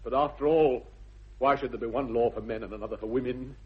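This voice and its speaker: An actor playing an earnest, excitable upper-class English gentleman in a radio comedy, theatrical and formal in his phrasing. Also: medium pitch, fast, slightly rough. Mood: emotional, noble. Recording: dull old radio recording.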